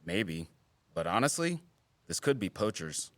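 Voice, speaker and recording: An actor playing a wry, guarded wildlife biologist in an audio drama, with teasing, dry sarcasm and an earnest streak. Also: male voice, lowish voice, very animated, a little slow, no echo. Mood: matter-of-fact. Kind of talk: naturalistic audio drama acting.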